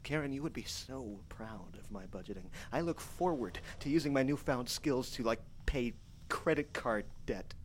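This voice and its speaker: An actor playing a starving, trapped male survivor in an audio horror drama, desperate and darkly joking, talking in a halting, stammering way between murmurs and strained yells. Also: lowish voice, close to an everyday voice. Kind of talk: live audio horror drama, recorded diary monologue. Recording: clearly noisy live stage recording.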